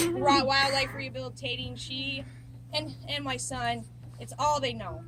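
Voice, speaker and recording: A woman's voice: high-pitched, steady tone, unhurried, crowd noise, rough recording. A middle-aged woman, a wildlife rehabilitator, giving an earnest, plainspoken public talk with many ums.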